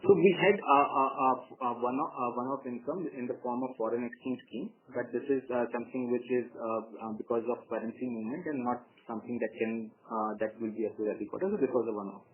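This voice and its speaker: A man gives measured executive answers on an earnings call, pausing often to hesitate. His voice sits at a middle pitch and comes through a narrow, tinny telephone line. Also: a little hiss or hum. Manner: halting, measured, frequent long hesitations. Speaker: company executive answering analysts. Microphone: telephone conference call.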